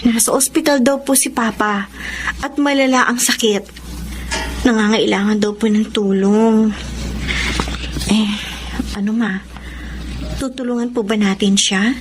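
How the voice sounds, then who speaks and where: A woman's voice: fairly high pitch, steady tone, a little slow, pauses often, noisy background, natural voice. A Filipino woman playing a dutiful, affectionate young daughter in a Tagalog radio drama. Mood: hesitant.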